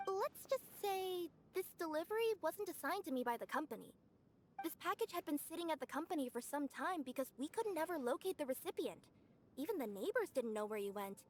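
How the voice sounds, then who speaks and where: A woman's voice: high-pitched, expressive, brisk, slight background noise. A woman playing a friendly, conscientious young female courier in a video game, with an upbeat conversational manner. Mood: earnest.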